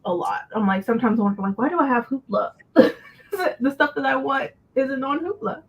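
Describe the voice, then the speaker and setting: Husky-voiced woman on a muffled stream. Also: high-pitched, moderate pace. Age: 30s. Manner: relaxed, playful, teasing. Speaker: co-host of a book livestream. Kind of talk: casual chat. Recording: dull lo-fi stream audio.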